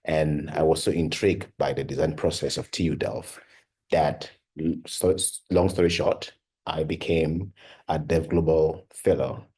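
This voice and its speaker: A man's voice: very deep voice, a little mellow, slightly rough. A young adult man from Cameroon, a device company founder and PhD researcher, telling his story in an earnest, conversational way with many "you know" and "uh" fillers. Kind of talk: personal talk for an online course.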